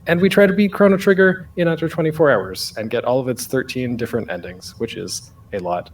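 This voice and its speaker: A man's voice: medium pitch, a little fast, slight background noise. A man presenting a casual online panel as a podcaster, speaking off the cuff in a rambling, self-deprecating, jokey way.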